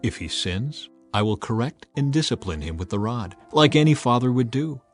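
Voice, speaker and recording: A man plays God in an audio Bible drama, solemn and full of authority. The delivery is measured and declamatory, in a middle register over a music bed. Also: mid-range voice, expressive, lightly acted. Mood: solemn promise. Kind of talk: dramatized scripture reading.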